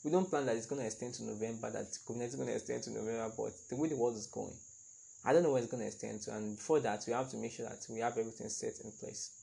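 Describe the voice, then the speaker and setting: A man's voice: medium pitch, steady tone, racing, vocal fry, dark tone, low-quality recording. A young man hosting a casual solo podcast, chatty and rambling, laughing at his own remarks. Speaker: podcast host.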